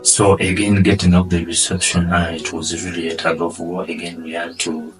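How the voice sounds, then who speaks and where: A man with a Ugandan accent, answering interview questions earnestly and often trailing off quietly. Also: low-pitched, little pitch movement, moderate pace, distant mic, soft background music, poor audio. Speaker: guest, asylum seeker.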